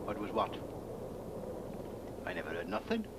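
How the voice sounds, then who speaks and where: A man's voice: on the low side, wildly swooping pitch, normal speed. An actor playing a timid, bashful young policeman with a British accent and a hesitant, bumbling manner. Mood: flustered. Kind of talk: comic film acting. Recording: old film soundtrack, faint noise.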